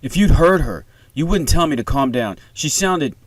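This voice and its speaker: A man's voice: medium pitch, very animated, moderate pace, no echo, voice pushed up, low-quality recording. A man reading the part of an anxious, urgent wife and mother on the phone in a screenplay. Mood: frantic, snappish. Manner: urgent, insistent.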